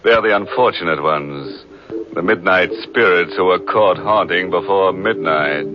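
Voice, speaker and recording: Actor as deep, gleefully ghoulish horror host. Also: male voice, background noise. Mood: macabre glee. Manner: mock-sinister, theatrical, drawn-out. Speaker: host and announcer of a mystery show. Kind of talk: 1940s radio horror hosting. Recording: muffled old radio broadcast, music behind.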